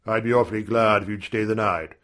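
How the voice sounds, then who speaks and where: Audiobook role: male reader as awkward gentleman, lowish voice, evasive, hesitant, easily piqued. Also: fairly even intonation, a little fast, natural voice. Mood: flustered, pleading. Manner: vague, neutral, hesitant. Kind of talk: audiobook character dialogue.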